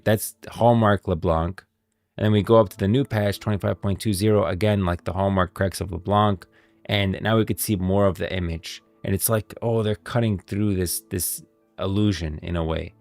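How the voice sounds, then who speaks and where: A man's voice: fairly low pitch, gravelly, darkish tone. A man hosting a stream, giving emphatic, explanatory commentary on video game lore, part read and part off the cuff.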